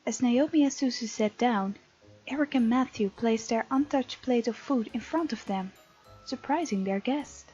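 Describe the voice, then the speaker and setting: A woman gaming host reads and comments on a visual novel. Her voice is a little high and breathy. The sound is slightly muffled, with game music playing quietly underneath. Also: moderate pace. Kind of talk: let's play commentary, reading game text aloud.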